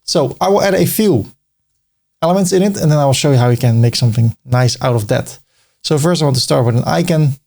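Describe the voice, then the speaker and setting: A man's voice: medium pitch, fast, gravelly, darkish tone. A middle-aged man with a Dutch accent walking through a web design tutorial step by step, casual and now and then joking. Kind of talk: screen-recorded tutorial narration.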